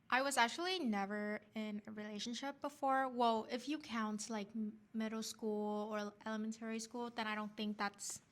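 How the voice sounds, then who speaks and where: Woman, young adult, mid-pitched voice, chatty, upbeat, talks to viewers, casual story time. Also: fairly bright tone. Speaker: vlog host, wife.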